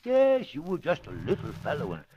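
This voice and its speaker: A man's voice: fairly high pitch, wildly swooping pitch, brisk. An actor playing a doting, eerie zookeeper who croons and chuckles to his animal in an old radio drama. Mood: fond, gleeful. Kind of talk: 1940s radio drama acting. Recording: noisy, muffled old radio recording.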